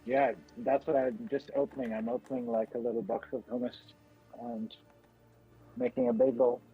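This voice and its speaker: A man's voice: medium pitch, normal speed, phone-quality sound, soft background music. A man hosting a livestream, chatting casually and telling rambling stories from home, with a slight American accent. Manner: relaxed, rambling storytelling. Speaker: livestream host. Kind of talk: casual chat. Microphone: computer microphone.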